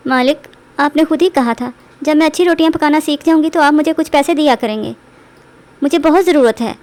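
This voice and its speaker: A woman plays a poor young village wife in a cartoon tale. Her voice is rather high, and she speaks in a humble, polite, pleading manner. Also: brisk, a little mellow, close to an everyday voice. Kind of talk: animated Hindi moral story acting.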